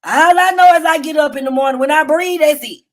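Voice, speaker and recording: Loud profane woman streaming. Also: brisk. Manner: loud, profane, animated storytelling. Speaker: livestream host. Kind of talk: casual livestream commentary. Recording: low-quality phone stream.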